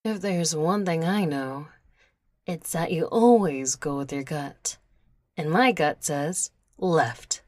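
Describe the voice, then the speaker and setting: A woman's voice: sits low, lively intonation, takes it slowly, a little mellow, dry recording, full character voice. A woman playing a boastful, stubborn genius engineer in a sci-fi roleplay, pompous and theatrical. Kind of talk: ASMR audio roleplay acting.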